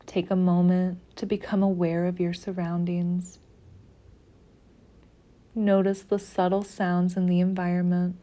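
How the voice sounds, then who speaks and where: A woman guiding a meditation in a soothing, measured narration with long pauses between phrases. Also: lowish voice, flat delivery, warm tone. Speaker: meditation guide. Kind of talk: slow guided meditation narration.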